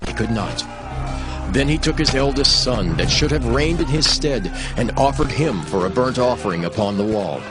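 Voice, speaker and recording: A man's voice: medium pitch, animated, moderate pace. A male narrator tells a Bible story in a solemn storytelling manner. His mid-range voice moves expressively through the scripted text, with faint music underneath.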